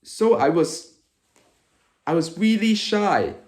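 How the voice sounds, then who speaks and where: A man's voice: high voice, very animated, takes it slowly, roomy sound. A man in his early twenties with a Hong Kong accent, presenting an exaggerated, emphatic comedic monologue to camera as a video host. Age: early 20s.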